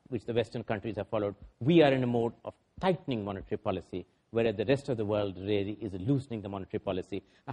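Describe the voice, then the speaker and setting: A man's voice: medium pitch, dark tone. A man on a panel discussion making formal, numbered points emphatically, with frequent hesitations.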